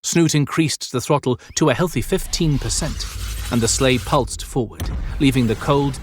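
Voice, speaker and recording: A man narrating a fantasy audiobook as scripted storytelling, with measured, clearly phrased delivery. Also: mid-range voice.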